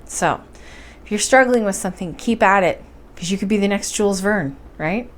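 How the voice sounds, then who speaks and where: A woman hosting a library story time, speaking and reading aloud in an expressive, encouraging way. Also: medium pitch, normal speed. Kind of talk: bedtime story reading and introduction.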